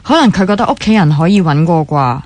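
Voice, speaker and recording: Radio drama confidante: actress as sceptical young woman, Hong Kong Cantonese, lowish pitch, dry close sound, plain-spoken and blunt. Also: expressive, fast talker, a little mellow, no room sound, close to an everyday voice. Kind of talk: naturalistic radio drama dialogue.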